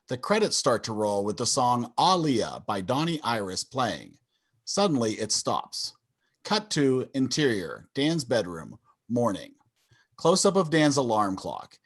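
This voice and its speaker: A man narrates a screenplay's stage directions. His voice is medium in pitch with lively, varied intonation, in a scripted reading style. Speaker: narrator of a table read. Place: indoors, on a video call.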